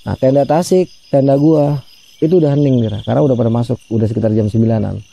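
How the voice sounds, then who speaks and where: A man's voice: medium pitch, steady tone, quick pace, vocal fry, dark tone, breathy edge. A man telling a ghost story in a chatty way, often addressing his listener by name and acting out the voices he quotes. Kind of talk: casual spoken ghost story.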